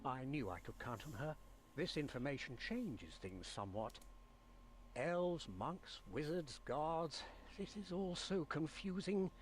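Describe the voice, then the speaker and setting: Faint, higher-voiced, bewildered monk. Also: male voice, lively intonation, unhurried, slight background noise. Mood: confused, pondering. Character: bewildered monk brother puzzling over a crime. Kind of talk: scripted video game voice acting.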